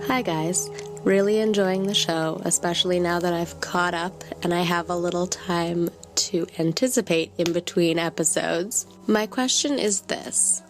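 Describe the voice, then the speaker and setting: A woman's voice: fairly low pitch, fairly even intonation, unhurried, soft background music. A woman speaking conversationally as a listener putting a question to a podcast. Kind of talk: recorded fan question, conversational.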